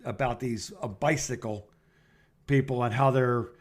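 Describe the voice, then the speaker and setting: A man co-hosting a podcast in casual conversation, speaking in a halting, folksy, rambling way. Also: medium pitch, fairly even intonation. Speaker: podcast co-host. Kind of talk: casual phone chat.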